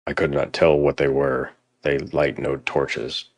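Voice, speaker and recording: A man's voice: rumbling low, flat delivery, measured pace, no room sound. A man playing a grave, steadfast Norse warrior leader in an audio drama, speaking solemnly and resolutely. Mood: grim.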